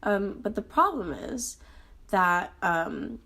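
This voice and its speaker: A young woman in her twenties, hoarse and sick, talking earnestly about her struggles in a personal vlog, with frequent pauses and ums. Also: medium pitch, sing-song, sharp tone. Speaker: vlogger.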